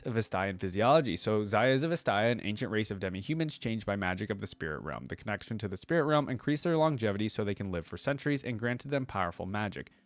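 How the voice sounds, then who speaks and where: A man's voice: fairly low pitch, dry recording. A man giving casual reaction commentary as a video creator, musing aloud informally and sometimes reading text out.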